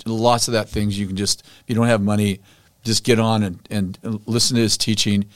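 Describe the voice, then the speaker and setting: A man with a low voice hosts his podcast in an enthusiastic, encouraging way. The recording is dry and close. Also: little pitch movement, moderate pace, no room sound. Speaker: podcast host. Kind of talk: conversational interview.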